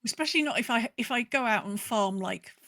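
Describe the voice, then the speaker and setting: A woman with a bright, forward voice talks casually as a podcast co-host. She rambles in an animated way, acting out her thoughts as she goes, and the recording is dry and close. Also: fairly high pitch, fairly quick, dry recording. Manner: animated, rambling storyteller who acts out her own thoughts. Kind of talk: casual chat about gaming.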